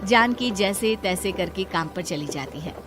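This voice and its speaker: A woman's voice: medium pitch, animated, moderate pace, music in the background. A woman giving scripted narration of a moral story as the storyteller.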